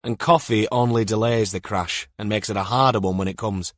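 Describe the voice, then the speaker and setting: A calm female police detective as read by a male audiobook narrator, measured and matter-of-fact. Her manner is dry-witted, and the recording is close and dry. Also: on the low side, no room sound, lightly acted.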